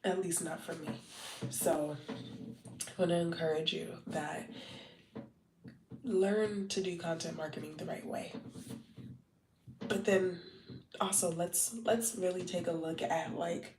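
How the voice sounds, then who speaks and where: A woman's voice: on the low side, fairly even intonation, measured pace, pauses often, noisy background. A congested, wheezy woman in her thirties from Southern California, chatting casually and loosely on a late-night livestream, full of fillers and restarts. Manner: rambling, many fillers and restarts. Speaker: content marketing livestreamer. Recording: roomy, distant from the mic.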